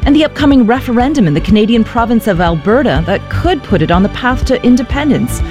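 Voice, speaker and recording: A woman's voice: a little high, animated, quick pace, music in the background. A woman hosting a radio programme from a London studio, presenting and encouraging her guests. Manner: encouraging, attentive interviewer. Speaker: radio host.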